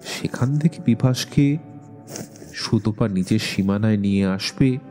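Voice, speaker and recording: A man narrates a story with slow, wistful delivery. His voice is lowish and dark, set in long reverb with soft music beneath. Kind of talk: dramatic scripted literary narration.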